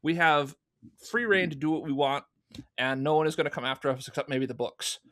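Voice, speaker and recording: A man's voice: fairly high pitch, a little fast, fairly bright tone. A man being interviewed as a guest, explaining at length in a conversational way and acting out remembered remarks as he goes. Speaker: guest, fan audio drama producer. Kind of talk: conversational interview answers, storytelling.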